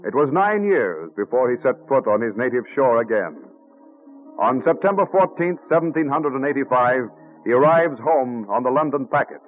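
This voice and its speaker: A man's voice: mid-range voice, animated, moderate pace. A man delivering formal, stately scripted narration in the manner of 1940s radio. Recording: tinny old radio recording, music behind.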